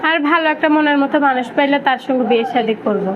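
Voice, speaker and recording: A woman's voice: high-pitched, quick pace. A young woman with a Bangladeshi accent giving plain, colloquial answers in a casual on-camera interview. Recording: dull lo-fi audio with loud background noise.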